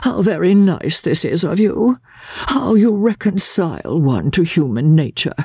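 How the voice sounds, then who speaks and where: A man voicing a languid, peevish gentleman invalid in an audiobook, with a fussy, affectedly fragile, sarcastic drawl. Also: high-pitched, expressive, unhurried, husky, dry recording, clean studio sound, voice pushed up, full character voice. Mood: playful, mocking. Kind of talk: dramatised audiobook character voice.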